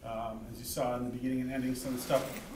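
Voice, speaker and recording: A male cinematographer answering audience questions at a festival Q&A, relaxed and chatty. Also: mid-range voice, fast. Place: theater. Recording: echoey hall, distant mic, noise.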